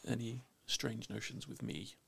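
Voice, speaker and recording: A man's voice: medium pitch, measured pace, creaky, fairly bright tone, breathy edge. A man with a London accent, a linguist guest giving blunt opinions with many restarts. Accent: London English. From England. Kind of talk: casual opinionated discussion.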